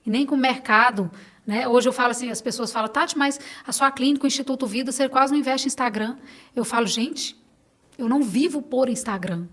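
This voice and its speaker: Brazilian woman on a podcast, kinda high voice, earnest and emphatic, like giving heartfelt advice. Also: fairly even intonation, a little fast, a bit bright. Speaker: podcast guest. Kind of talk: conversational interview, personal testimony.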